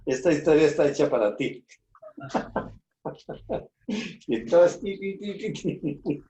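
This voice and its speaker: A man's voice: on the high side, lively intonation, takes it slowly, dark tone, dull audio. A man leading a casual group Bible discussion, giving short encouraging affirmations. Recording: roomy echo.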